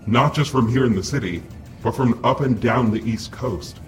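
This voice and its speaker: A man's voice: mid-range voice, moderate pace, recorded from a distance, soft background music, natural voice. A man playing an earnest young superhero in an audio drama, speaking with grave urgency. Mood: shocked. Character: earnest young male superhero confronting villains.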